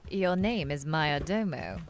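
An actress playing a sly, self-assured British spy, arch and teasing, in a radio comedy-mystery. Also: female voice, low voice, expressive, takes it slowly, slight background noise, heavily acted.

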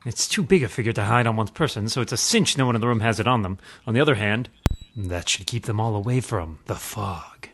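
A man's voice: fairly low pitch, brisk, a little hiss or hum, close to an everyday voice. A man playing a suave, pompous playboy who is secretly a mysterious crimefighter, in theatrical old-time radio parody style. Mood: weary, musing. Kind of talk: parody of 1940s radio drama.

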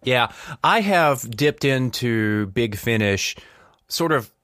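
A man's voice: medium pitch, animated, slow pace, fairly bright tone, no echo. Man hosting a podcast, close dry sound. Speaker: podcast host. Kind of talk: casual podcast chat.

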